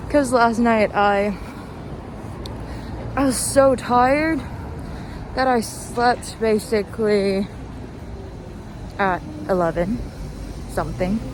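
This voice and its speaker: A chirpy, giggly woman in a casual, rambling solo chat, halting often and leaning on "like". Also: a little high. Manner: giggly, chuckles often between phrases; halting, peppered with "like". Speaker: host of a personal walk-and-talk podcast. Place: outdoors, walking along a street. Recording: clear outdoor street and car noise. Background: passing cars, urban outdoor ambience.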